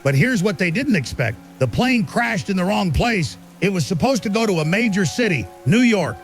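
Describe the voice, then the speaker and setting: A worked-up conspiracy show host, paranoid and pushy, voice up high, bit of music behind. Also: male voice, sing-song, moderate pace. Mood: ominous, insistent. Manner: emphatic, rhetorical questions, insistent. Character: agitated, paranoid male conspiracy broadcaster, urgent and certain. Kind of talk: ranting conspiracy talk show monologue.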